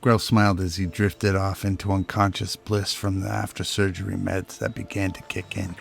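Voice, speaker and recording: A man narrating a sci-fi radio drama as a measured storyteller, in scripted prose. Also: low-pitched, level tone, gravelly.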